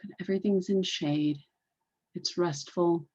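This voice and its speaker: Low, slow, hushed-toned woman guiding meditation. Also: steady tone, slightly rough, highs cut off. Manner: slow, deliberate, repetitive, soothing delivery. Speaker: meditation guide. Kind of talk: guided meditation.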